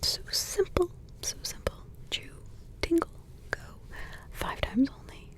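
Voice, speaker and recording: Grandma witch done by a woman, all soft whispers, fond and a bit rambly. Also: medium pitch, close to an everyday voice, rough recording. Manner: tender, sidetracked asides, affectionate endearments. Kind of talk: ASMR roleplay.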